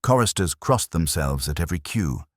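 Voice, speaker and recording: A man narrating a period mystery audiobook in a solemn, theatrical way with deliberate phrasing. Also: low voice, sing-song, no echo, studio quality.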